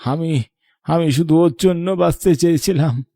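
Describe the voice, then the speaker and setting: An indignant old grandfather out of a horror cartoon, played by a man. His voice is dark and a bit high for a man, and he scolds reproachfully. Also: unhurried, creaky, no room sound, clean studio sound. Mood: bitter, vengeful. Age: older. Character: indignant old grandfather, murdered, returns as vengeful ghost. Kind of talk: Bengali horror cartoon voice acting.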